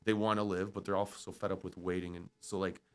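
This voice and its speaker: A man from Canada whose first language is Ukrainian, hosting a podcast in blunt, profane casual chat that swings between loud and quiet. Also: sits low, flat delivery, fast, smooth. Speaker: podcast host.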